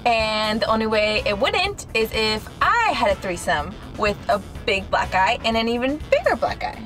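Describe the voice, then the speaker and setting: A woman's voice: fairly high pitch, sing-song, normal speed, over loud music. A young woman bantering playfully and whining as a girlfriend in a comedy sketch, filmed in a car by a dashboard camera. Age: young adult. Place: inside a parked car.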